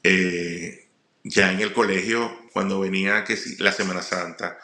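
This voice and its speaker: A middle-aged man with a Venezuelan accent tells personal stories informally, often halting and repeating words. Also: deep voice, steady tone, sharp tone. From Puerto La Cruz, eastern Venezuela. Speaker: comedian, guest speaker. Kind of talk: personal storytelling monologue. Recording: roomy echo.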